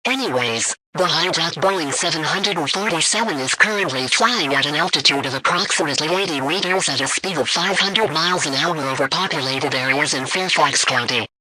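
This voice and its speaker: Bright, forceful man reading emergency alerts. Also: on the high side, dry recording, low-quality recording. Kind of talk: scripted emergency broadcast announcement.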